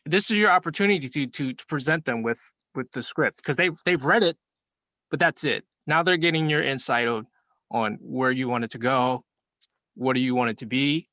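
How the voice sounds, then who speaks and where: Bright-voiced man explaining his take. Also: medium pitch, moderate pace, no room sound. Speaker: participant in a film class group meeting. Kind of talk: informal class discussion, explaining an opinion.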